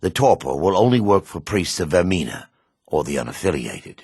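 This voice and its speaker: An actor playing a devout, remorseful dark elf priest in a fantasy video game, speaking formally and gravely with a raspy voice. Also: male voice, sits low, sing-song, no room sound, clean studio sound, heavily acted. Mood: earnest, reassuring. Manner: formal, measured, grave delivery.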